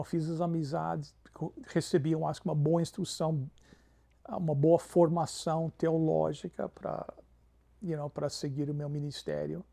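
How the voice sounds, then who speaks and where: Gravelly pastor, warm tone. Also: male voice, fairly high pitch. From Canada. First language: English. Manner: peppers Portuguese with English fillers. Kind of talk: interview storytelling.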